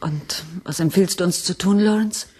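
An actress playing a decisive colony leader in a radio play, speaking with measured, resolute authority. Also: female voice, on the low side, dark tone, slightly rough. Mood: firm. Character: firm, decisive female head of a space colony. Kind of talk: 1980s German radio play acting.

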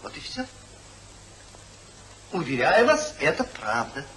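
Animated, slippery gentleman hypocrite. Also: on the high side, slow pace, full character voice, low-quality recording. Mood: anxious, placating. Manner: over-polite, hedging, theatrical. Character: smooth-tongued hypocritical gentleman schemer, evasive. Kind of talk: 1950s radio stage-comedy acting. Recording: hissy 1950s radio play recording.

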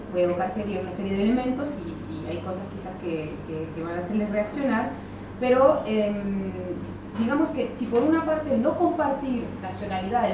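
A woman from France giving a university lecture in Spanish with a mixed French, Spanish and Buenos Aires accent, hesitant with many fillers and wry asides. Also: fairly high pitch. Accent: mixed French-Spanish with a touch of Buenos Aires. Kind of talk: academic lecture to an audience. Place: large lecture hall. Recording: echoey hall from far off, audible room noise.

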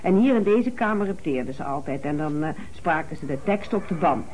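An actress playing a talkative, defensive housekeeper in a Dutch radio play, faltering yet emphatic. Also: female voice, sits low, expressive, brisk, darkish tone, slight background noise. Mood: flustered.

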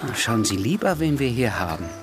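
An actor playing a suave, teasing upper-class host in a radio drama, gallant and effusive. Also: male voice, medium pitch, sing-song, a little fast, lightly acted. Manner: flamboyant, gallant, urbane. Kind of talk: German radio drama acting. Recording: outdoor garden scene with music.

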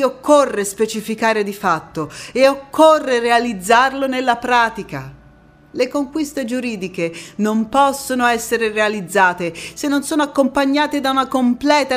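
A woman's voice: on the high side, close to an everyday voice. An actress performing a theatrical monologue as a firm, young female politician who moves between oratory and intimate recollection. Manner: impassioned oratory alternating with quiet intimate narration. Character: young female Constituent Assembly deputy, firm, indignant, reflective, ironic. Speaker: stage actress.

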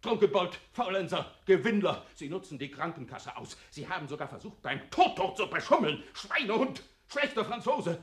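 Shrill, blustering male boss. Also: big pitch swings, moderate pace, a bit bright, exaggerated voice. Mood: furious, insulting. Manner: contemptuous, blustering, insulting. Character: irate bullying male department head, scolding an employee. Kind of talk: theatrical radio play tirade.

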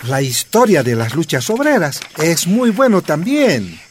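A man's voice: a little high, sing-song, measured pace, a little hiss or hum. A man playing a kindly older Bolivian father in a radio drama, with a Bolivian accent. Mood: warm, proud.

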